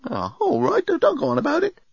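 High, wildly swooping robot bellboy. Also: male voice, wildly swooping pitch, normal speed, fairly bright tone, no echo, lo-fi sound, full character voice. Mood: hurt, sulky. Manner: exclamatory hipster slang, over-familiar. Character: breezy, cheeky robot bellboy, easily hurt.

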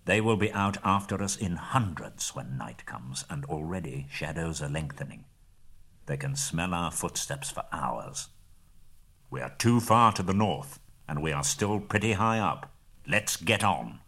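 A gruff old wizard barks orders and scolds his companions in a deep, husky voice, as a male narrator puts him on. His pitch moves a lot even while he sounds stern. It is a storybook reading. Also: measured pace, heavily acted. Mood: urgent. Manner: forceful, scolding.

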